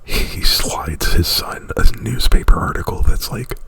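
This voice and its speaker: A man's voice: moderate pace, a bit bright. A man doing casual ASMR, whispering breathily right up at the microphone in a rambling, informal retelling. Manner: rambling, informal, with frequent "like" and profanity. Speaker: ASMR performer. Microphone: very close to the microphone.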